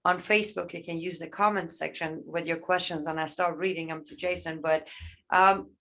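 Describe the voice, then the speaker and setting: A woman's voice: fairly low pitch, fairly even intonation, moderate pace, fairly bright tone. A woman hosting an online Q&A over a video call, speaking hesitantly with many fillers. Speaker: host. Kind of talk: moderating an online Q&A.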